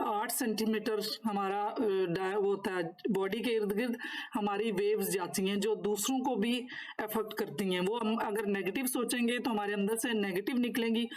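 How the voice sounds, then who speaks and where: A woman's voice: mid-range voice, darkish tone, poor audio. A woman instructor giving a course lecture, partly reading from notes and partly explaining, in formal, instructive wording.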